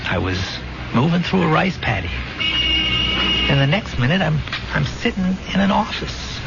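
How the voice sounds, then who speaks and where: An American actor in a 1970s radio drama playing the plainspoken, friendly ghost of a dead soldier. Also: male voice, fairly high pitch, big pitch swings, normal speed, vocal fry. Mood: haunted recollection. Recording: muffled vintage radio recording, loud music.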